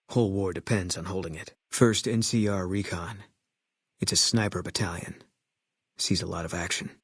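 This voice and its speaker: Casting: stoic, guilt-ridden military sniper in a video game; deep, raspy, terse, flat delivery. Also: male voice, no room sound, clean studio sound. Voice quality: low, husky. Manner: deadpan, terse, clipped sentences. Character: terse, guilt-haunted ex-army sniper, grimly stoic man. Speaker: video game companion character.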